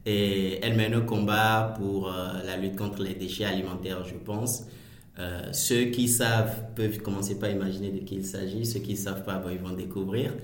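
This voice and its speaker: Low-voiced male podcast host, echoey room. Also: moderate pace. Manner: relaxed, hesitant with frequent fillers. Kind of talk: casual interview hosting.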